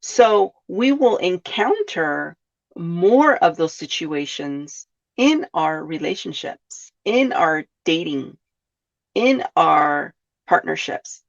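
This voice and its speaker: Slow, gravelly-voiced woman host. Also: on the low side, very animated. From California. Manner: poses rhetorical questions to listeners, often adds "right?". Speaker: podcast host. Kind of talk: reflective self-help talk. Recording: dull lo-fi sound.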